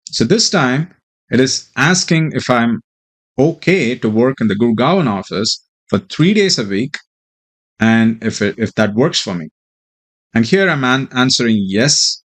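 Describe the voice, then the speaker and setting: A man explaining technical material as a software developer, speaking hesitantly with frequent ums and pauses. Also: medium pitch, lively intonation. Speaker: software developer presenting a demo. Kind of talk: tech tutorial walkthrough and mock interview answers.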